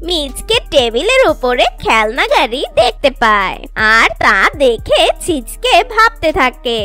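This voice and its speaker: A woman narrates a children's tale from a script. Her voice is high and lilts widely, with faint music playing behind it. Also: very animated, measured pace, pushed and bright.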